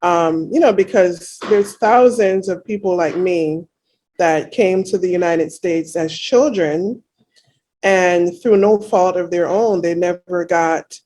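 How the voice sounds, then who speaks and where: A woman's voice: fairly low pitch, slow, dark tone, slight background noise. A woman in her thirties from Jamaica, a guest in a casual interview, talking reflectively with many "you know" fillers.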